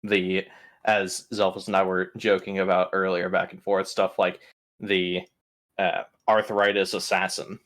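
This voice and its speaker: A man's voice: sits low, little pitch movement, a little slow, fairly bright tone. A man casually recapping tabletop game events as a player, blunt and sardonic, with plenty of swearing.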